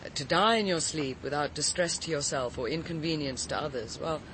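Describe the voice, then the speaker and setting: A woman's voice: low-pitched, brisk, fairly bright tone, slight background noise, rough recording. An actress playing a poised, sociable countrywoman in a radio drama, speaking politely and articulately with slight hesitations in a British accent. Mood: courteous. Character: poised, sociable, frank countrywoman, a writer and cook.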